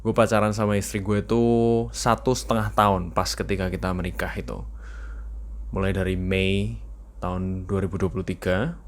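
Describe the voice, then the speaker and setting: A young man with an East Javanese Indonesian accent, chatting casually in a video journal, slipping in English phrases and laughing now and then. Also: lowish voice. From East Java. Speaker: vlogger.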